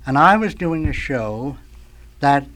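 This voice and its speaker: An older man, a radio veteran, tells anecdotes as a talk show guest in a halting, rambling way, groping for words. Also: on the high side, lively intonation, fairly bright tone. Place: radio studio.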